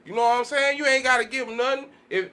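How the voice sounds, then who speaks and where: A man's voice: very high voice, steady tone, fast talker, pushed and bright. A man on a casual podcast panel, talking in slang and restating his point emphatically over the others. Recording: background noise, live room ambience.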